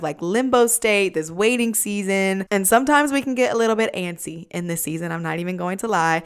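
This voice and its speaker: A woman's voice: lowish voice, clean tone. A young woman in her twenties hosting a podcast in casual chat, nasal and congested from a cold, talking close into a desk mic at home. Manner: chatty, lots of fillers and "like". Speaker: podcast host. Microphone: USB desk microphone up close.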